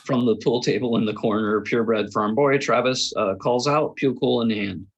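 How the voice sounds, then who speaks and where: A man reads out screenplay action lines as the narrator of a table read. His voice is middling in pitch with a husky grain. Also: steady tone, normal speed, dull audio. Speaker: narrator reading stage directions.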